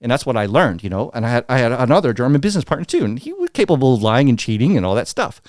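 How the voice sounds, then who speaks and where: A middle-aged American man telling candid business stories as an interview guest, blunt and animated, acting out what others said. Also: mid-range voice, racing, dry recording, clean studio sound. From United States. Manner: blunt, animated, acts out quoted dialogue.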